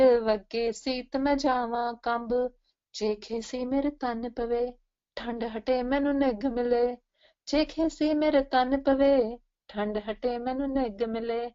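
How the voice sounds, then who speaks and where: Shivering storybook female woman storyteller acting, Punjabi accent, high rhyming plea, slightly dull recording. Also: darkish tone, clear, resonant tone. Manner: sing-song rhymed pleading.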